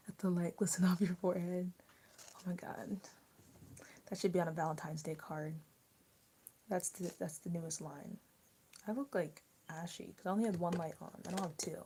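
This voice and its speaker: Young woman livestream host, low mellow voice, rambling chat, slips into near-whispers, faint background hiss. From US mid-Atlantic, rural border area. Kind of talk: casual chat with live viewers while eating.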